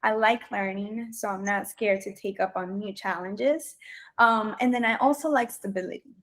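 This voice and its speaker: A woman's voice: medium pitch, moderate pace. A young woman from Colombia whose first language is Spanish, answering questions in a video job interview, hesitant and full of ums. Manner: hesitant, many ums and restarts. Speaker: job candidate. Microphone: video call.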